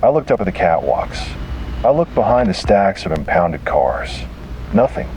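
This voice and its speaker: A man's voice: deep voice, very animated, moderate pace, darkish tone, a little hiss or hum, low-quality recording. A man narrating a scripted first-person detective horror story.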